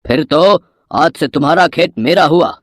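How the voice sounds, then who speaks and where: A man's voice: fairly high pitch, normal speed, no room sound, clean studio sound, natural voice. A man playing a greedy, bullying village moneylender in a cartoon moral story, smug and menacing in manner. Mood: demanding, smug.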